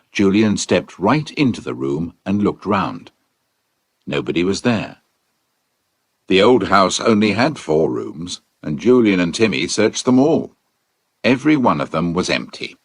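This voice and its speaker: A man with a British accent narrating a children's adventure story in a measured, suspenseful manner. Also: sits low, big pitch swings, slightly rough, no room sound, studio quality. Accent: British English. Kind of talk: dramatic scripted storybook narration.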